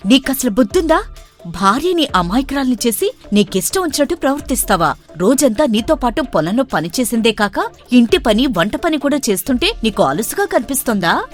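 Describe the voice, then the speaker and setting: A woman's voice: fairly high pitch, big pitch swings, brisk, faint music behind. A woman voicing a bold, childish, simple-minded young village woman in a story, outspoken and sing-song. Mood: scolding.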